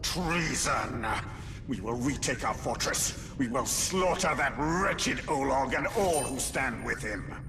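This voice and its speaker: A grave, vengeful game commander declaims in a mid-pitched voice. Long reverb trails each phrase, with dramatic music underneath. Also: male voice, lively intonation, takes it slowly. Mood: cold fury. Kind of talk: video game cutscene acting. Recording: long hall reverb, cinematic music behind.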